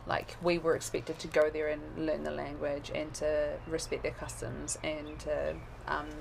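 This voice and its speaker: A woman with a New Zealand accent, chatting casually as an interview guest, rambling with lots of fillers and quick laughs. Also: low-pitched, monotone, gravelly, a little hiss or hum, low-quality recording.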